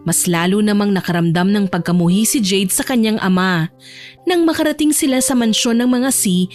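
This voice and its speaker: Babaeng tagapagsalaysay, medyo mataas ang boses. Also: female voice, on the high side, a little mellow. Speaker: narrator. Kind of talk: scripted audio drama narration.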